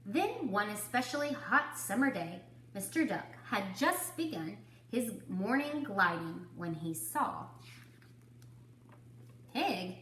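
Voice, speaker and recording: A woman narrates a picture book for young children in an echoey room with a faint hum. The pacing is slow and deliberate, and the pitch moves a great deal. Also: sing-song, fairly bright tone. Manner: deliberate, dramatic phrasing. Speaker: storybook reader for kindergarteners. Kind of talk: expressive children's story narration.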